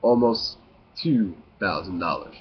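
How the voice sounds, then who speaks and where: Slow, low-voiced young man vlogging. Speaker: video blogger. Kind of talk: casual confessional monologue to camera. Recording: muffled lo-fi with faint hiss.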